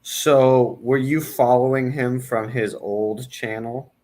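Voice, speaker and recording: A man's voice: medium pitch, steady tone, slow, darkish tone. A man hosting a casual interview, mostly murmuring acknowledgments and asking short follow-up questions. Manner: brief prompts and murmured acknowledgments. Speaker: interview host.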